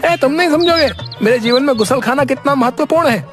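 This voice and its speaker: A man's voice: very high voice, fast talker, over music. A man voicing a vain, brash young beggar turned rich in a comedy cartoon, bossy and boastful, with a repeated verbal tic. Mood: irritated, haughty. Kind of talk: Hindi comedy cartoon story acting.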